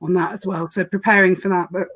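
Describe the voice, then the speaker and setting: A woman hosting a casual livestream chat, talking fast with lots of fillers and restarts, her voice going hoarse. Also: lowish voice, flat delivery, fairly bright tone. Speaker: podcast host.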